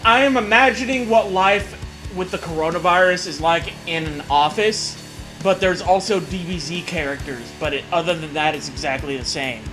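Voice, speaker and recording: Young male comedy co-host, high-pitched and sharp, sarcastic riffing over faint music. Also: moderate pace. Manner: sarcastic, exaggerated, profane. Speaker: co-host commenting on fan fiction. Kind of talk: casual comic riffing. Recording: music playing behind the voice. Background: background music.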